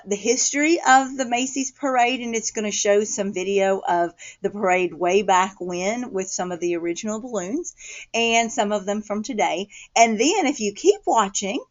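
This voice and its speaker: A woman's voice: medium pitch, lo-fi sound. A woman casually explaining things to viewers in an informal video talk.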